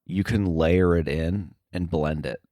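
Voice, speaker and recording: A man with a low voice talks as a podcast guest in a casual interview. He is hesitant, thinking aloud as he goes, and the sound is close and dry. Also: unhurried, dark tone, no echo.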